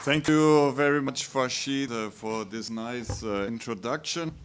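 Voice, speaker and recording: A professor from Germany with a German accent, opening a conference talk in a slow, measured way with fillers. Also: male voice, mid-range voice, a loud crowd, heavy background noise. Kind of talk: conference presentation. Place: conference hall.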